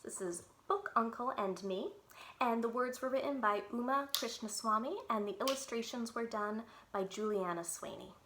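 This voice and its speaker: A woman reading a children's book aloud in an expressive, animated storytelling manner, with clear enunciation. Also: on the high side, normal speed, a little hiss or hum.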